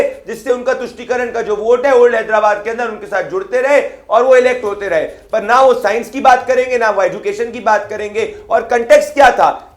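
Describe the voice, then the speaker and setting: A combative man argues his side in a televised debate, rattling off figures and numbered points. His voice sits very high. The sound is roomy and echoey. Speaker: party spokesperson.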